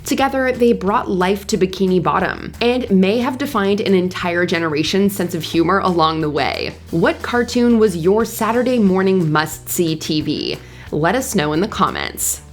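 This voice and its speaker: A woman's voice: on the low side, animated, normal speed, soft background music. A woman narrating a scripted countdown show with polished presenter delivery.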